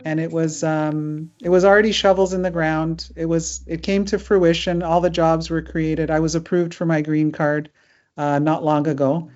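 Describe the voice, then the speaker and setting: A woman's voice: low-pitched, level tone, moderate pace, darkish tone, faint music behind. A middle-aged woman hosting a webinar as an immigration lawyer, chatting casually with many fillers. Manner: chatty, with frequent fillers and laughs. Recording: muffled lo-fi video call audio.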